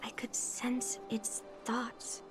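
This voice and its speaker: An earnest, unhurried young swordswoman. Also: fairly high pitch, monotone, loud music behind the voice. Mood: humbled, reflective. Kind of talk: scripted game voice acting.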